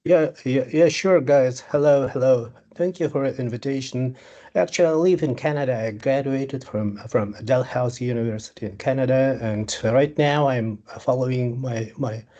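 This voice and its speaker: A man's voice: medium pitch, mellow, muffled recording. A man speaking on a video call who restarts and repeats words as he goes. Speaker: guest speaker. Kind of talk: casual panel talk.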